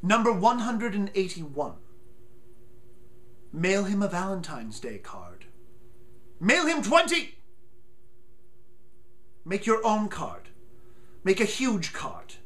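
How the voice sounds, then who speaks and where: A man in his thirties reads aloud in a mock-solemn, theatrical way. His voice sits high for a man and swoops widely in pitch. He takes his time, leaning on deliberate, emphatic pauses. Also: wildly swooping pitch, a bit bright. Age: 30s. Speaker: comic performer reading aloud. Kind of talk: mock-solemn dramatic reading from a book. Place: small furnished room at home, indoors.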